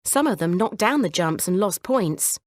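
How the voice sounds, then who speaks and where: A woman narrating a scripted children's bedtime story in a measured, clearly phrased way. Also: medium pitch, animated, dry recording, clean studio sound.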